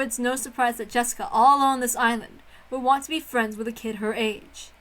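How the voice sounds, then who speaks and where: Woman voicing soft, lower-toned wistful servant girl. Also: lightly acted, low-quality recording. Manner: soft, measured, formal.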